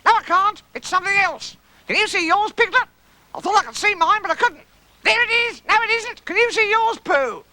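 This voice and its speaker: Childish little storybook creature, very high pitched, bright, eager, excitable, exclaiming and questioning. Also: male voice, normal speed, dry recording, heavily acted. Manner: breathless repeated questions and exclamations. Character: small excitable childish animal, eager and squeaky.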